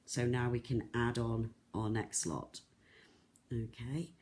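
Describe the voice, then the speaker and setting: Croaky, soft-spoken beading tutor. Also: medium pitch, little pitch movement, slow, slight background noise. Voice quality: soft, not loud. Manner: chatty, rambling, lots of ums and restarts. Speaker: craft shop tutor. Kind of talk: live-streamed beading tutorial.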